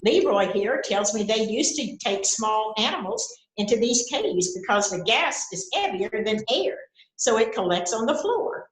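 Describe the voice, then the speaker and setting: A woman's voice: a little high, sing-song, a little slow. A woman playing a shrewd, matter-of-fact doctor who helps solve murders in an amateur mystery play. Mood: explaining. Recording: echoey room sound.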